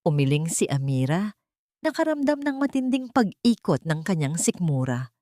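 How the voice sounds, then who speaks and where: A woman's voice: low voice, very animated, normal speed, warm tone, dry recording, clean studio sound. A woman narrating a scripted audio drama in a solemn, melodramatic storytelling manner.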